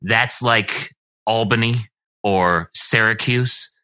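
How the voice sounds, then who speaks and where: A man from Western New York talks as a relaxed interview guest, rambling a little and chuckling often. His voice is pitched a bit low but sounds strikingly bright and forceful. The recording is dry and close. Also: slow, no room sound. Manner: laid-back, chuckles often, rambling explanations. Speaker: guest musician. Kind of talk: casual interview chat.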